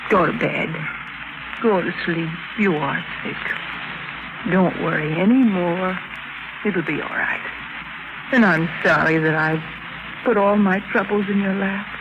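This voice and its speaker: An actress playing a mysterious, cool and steely countess in a 1950s radio drama. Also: female voice, lowish voice, a little slow, pauses often, highs cut off. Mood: soothing. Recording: noisy vintage 1950s radio recording.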